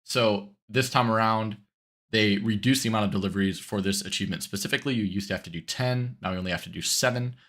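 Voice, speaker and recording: A man's voice: on the low side, quick pace, a bit bright. A man with a congested voice from a cold, rambling easily through casual commentary while streaming a driving game. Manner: rambling, self-correcting, easygoing.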